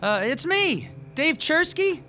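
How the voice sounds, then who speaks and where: A man's voice: very high-pitched, sing-song, slow pace, pushed and bright, slight background noise, full character voice. An actor playing a timid, bullied man turned vengeful master in a horror radio drama, bitter, sarcastic and taunting. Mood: falsely friendly, bitter. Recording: music behind the voice.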